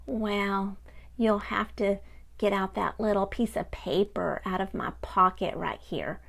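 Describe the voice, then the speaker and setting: A woman voicing a sad, tearful little boy puppy puppet who talks in halting bursts. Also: mid-range voice, creaky, fairly bright tone.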